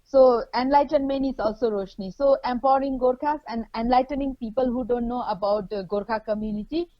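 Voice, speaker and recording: A woman's voice: a little high, fairly quick, no echo, lo-fi sound. A middle-aged woman from the Darjeeling hills, a Nepali native speaker, answering interview questions in a hesitant way with many fillers. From India, Darjeeling and Kalimpong hills. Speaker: guest, runner and lawyer.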